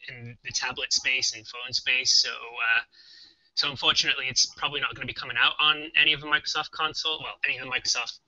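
A man's voice: medium pitch, fairly even intonation, fast talker, bright, forceful tone, muffled recording. A young man, a game developer, co-hosting a casual live stream, talking hesitantly with many ums, on a webcam mic.

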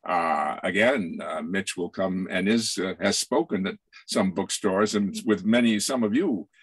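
An older man with a lowish voice addresses a virtual book launch. He rambles earnestly, stopping and restarting as he urges people on. The sound is fairly dry, from a video call in a small home office. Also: breathy edge, dry recording. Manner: halting, rambling, earnest encouragement. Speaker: playwright introducing an author.

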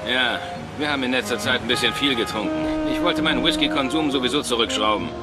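An actor playing a brash, sardonic, hard-drinking saboteur hero in a video game, blunt and tough. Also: male voice, mid-range voice, quick pace, loud music behind the voice, natural voice. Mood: wry, concerned. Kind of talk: video game dramatic acting.

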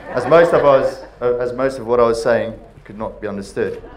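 A man's voice: mid-range voice, slight background noise, rough recording. South African best man joking at a wedding. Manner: jokey, reading from notes, pausing for laughs. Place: large wood-panelled banquet hall, indoors. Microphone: handheld mic.

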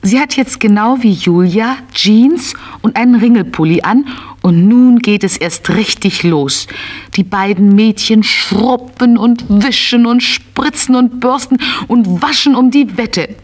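A woman's voice: fairly high pitch, unhurried, darkish tone. A woman narrating a scripted children's story, telling it as if to small children.

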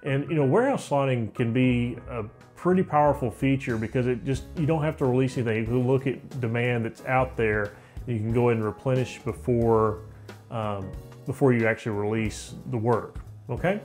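A man in his forties giving a relaxed, conversational software walkthrough from a home office, with frequent fillers and restarts. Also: mid-range voice. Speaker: software tutorial presenter. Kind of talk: casual screen-recorded software walkthrough.

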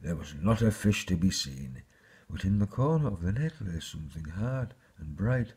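A man with a husky voice, reading a children's fairy tale aloud as a storyteller. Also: low voice, animated, normal speed, a little mellow.

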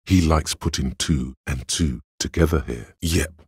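A man voicing a detective's coolly analytical inner voice of logic in a video game, gravelly and husky, with measured, deliberate delivery. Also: a bass voice, no room sound, studio quality, full character voice. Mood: pondering. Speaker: game voice actor. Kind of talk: scripted video game narration.

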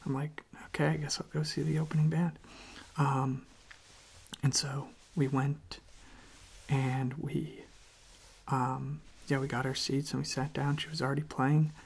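A soft-spoken ASMR creator chatting casually in a hushed, gentle, halting voice that often drops to a breathy half-whisper, close to the microphone. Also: medium pitch, little pitch movement. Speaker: ASMR video creator, host.

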